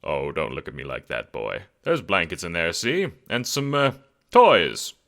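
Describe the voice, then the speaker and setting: A man's voice: low-pitched, sing-song, a little slow, gravelly, a bit bright, pitched below the performer's own voice, full character voice. Man playing a jaunty, theatrical adventurer scientist. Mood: jovial. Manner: breezy, theatrical, old-fashioned exclamations. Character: jaunty, self-important male globe-trotting adventurer scientist.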